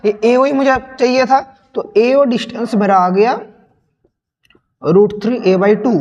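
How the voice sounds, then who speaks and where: A young male physics teacher with a sore, hoarse throat, slowly working through a sample paper and repeating questions to his students. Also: very high voice, darkish tone, muffled recording. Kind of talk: solving a sample paper on screen. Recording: long reverb.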